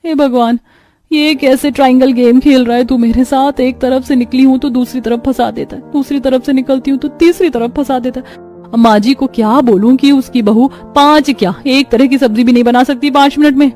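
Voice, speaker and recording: An anxious young daughter-in-law from a cartoon family drama, acted by a woman, with a high voice over background music. She is fretful and pleading, often as if talking to herself. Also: fairly even intonation, normal speed, mellow, close to an everyday voice. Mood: anxious, despairing. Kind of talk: Hindi animated moral story dialogue.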